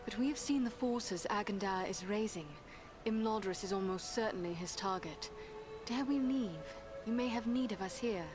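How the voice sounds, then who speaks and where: A woman's voice: medium pitch, normal speed, over music, natural voice. A woman playing an earnest, resolute healer and adventurer in a fantasy video game, with poised, formal delivery. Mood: grave resolve.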